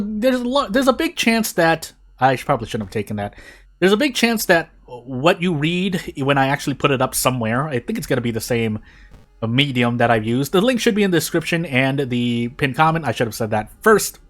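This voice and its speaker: Animated, higher-voiced man rambling over gameplay. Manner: rambling, self-interrupting, often losing his train of thought; self-deprecating. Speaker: video creator and gamer. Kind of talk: casual gameplay commentary.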